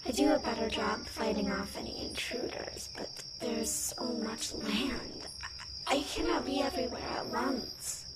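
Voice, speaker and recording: A small forest spirit, played by a woman, speaks in a light, breathy, measured voice with feisty loyalty. She sounds set back from the mic in strong echo. Loud crickets and insects fill this fantasy roleplay track. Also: fairly high pitch, close to an everyday voice. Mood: wistful. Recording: distant, echoing, noisy nature-ambience track.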